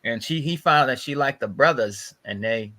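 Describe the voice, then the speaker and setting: A man's voice: mid-range voice, normal speed, sharp tone. A man chatting casually and telling stories as a podcast co-host, repeating phrases for emphasis and often saying bro. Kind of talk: casual chat, gossiping and storytelling.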